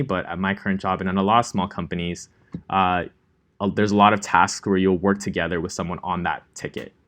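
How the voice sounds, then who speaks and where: Laid-back young male coding instructor. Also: on the low side. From Los Angeles, California. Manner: relaxed, chatty, many ums and likes. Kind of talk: casual classroom lecture. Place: classroom.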